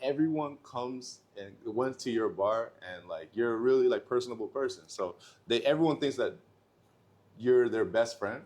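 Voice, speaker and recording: A young man from Canada talking casually as a podcast guest, slangy and loose, with lots of "like" and quick bursts. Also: mid-range voice, a little mellow. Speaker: rapper guest.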